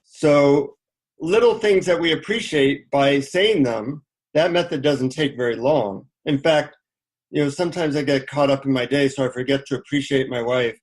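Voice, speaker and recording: A man's voice: medium pitch, moderate pace. Middle-aged man telling personal stories. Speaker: interview guest, author. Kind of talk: conversational interview, personal anecdotes.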